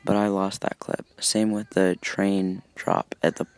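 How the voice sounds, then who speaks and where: Young male vlogger, low and gravelly, easygoing rambling narration. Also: measured pace. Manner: relaxed, apologetic, rambling. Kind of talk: casual voice-over narration.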